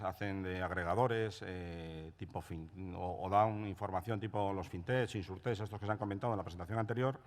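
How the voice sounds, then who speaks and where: A man speaking as a panelist at a conference, measured and explanatory, often closing his points with a tag question. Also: fairly low pitch. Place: conference room. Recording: reverberant conference hall.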